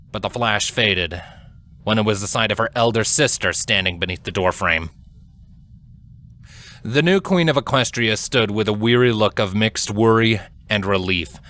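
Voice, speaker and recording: Low, bright-voiced man narrating dramatic fiction. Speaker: audiobook-style fan fiction narrator. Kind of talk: scripted dramatic story narration.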